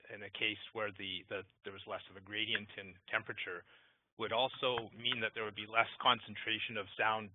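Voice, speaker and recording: A man calling in with a careful technical question, his voice low. The sound is dry and phone-thin. His sentences tend to trail off quieter. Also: no room sound. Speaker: caller asking a question. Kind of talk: question on a conference call. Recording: dry tinny phone line.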